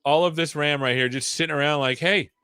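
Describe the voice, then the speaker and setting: A man's voice: a little high, a little fast, sharp tone, clear, resonant tone, no room sound, studio quality, faint music behind. A man hosting a casual livestream, rambling playfully with jokey asides. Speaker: live show host. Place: own room at home.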